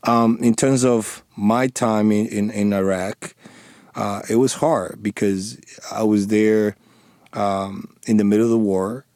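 A man in his forties from the Dominican Republic with a thick Dominican Spanish accent, a native Spanish speaker answering radio interview questions in a halting, earnest way with many restarts. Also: fairly low pitch, steady tone, darkish tone, no room sound, clean studio sound. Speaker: interview guest, former police sergeant.

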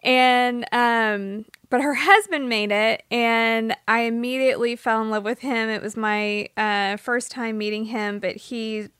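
Higher-voiced woman, emotional podcast host. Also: steady tone, a little slow, hardly any pauses, a bit bright, clean tone, no room sound, clean studio sound. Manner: heartfelt, emotional, a little teary. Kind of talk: casual podcast interview.

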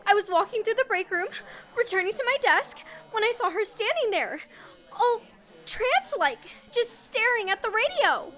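Actress as scared young exchange worker, super high voice, apologetic, old radio drama. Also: female voice, big pitch swings, normal speed, fairly bright tone, exaggerated voice. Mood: frightened. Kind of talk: 1930s-style radio play acting.

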